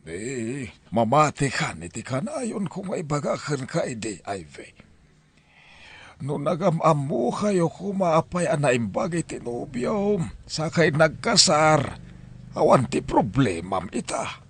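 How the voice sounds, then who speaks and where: A man acting as an old Ilocano grandfather who admonishes slowly. The voice is high for a man and husky, in the manner of family radio drama. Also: sing-song, exaggerated voice. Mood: reproving. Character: scolding old grandfather giving advice.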